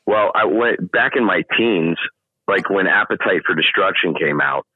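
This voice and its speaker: A middle-aged man with a low baritone voice talks through an interview, thinking aloud and restarting his sentences often. His voice comes over a narrow, phone-like line with no room sound at all. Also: moderate pace, husky, dry recording. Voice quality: deep baritone speaking voice. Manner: thoughtful, explains at length with many restarts. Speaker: guest singer. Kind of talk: casual interview chat.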